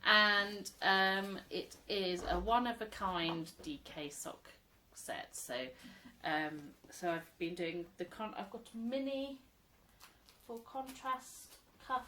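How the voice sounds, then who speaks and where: A woman in her thirties, chatting casually at home about her crochet, a little croaky from a cold, rambling and laughing often. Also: medium pitch, slow pace, noisy background. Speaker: crochet vlogger, mother. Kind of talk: casual show-and-tell chat.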